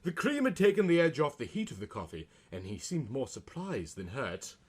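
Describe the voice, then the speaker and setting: A man's voice: mid-range voice, sing-song, moderate pace, husky. A man narrating a comic story in a theatrical, mock-romantic style.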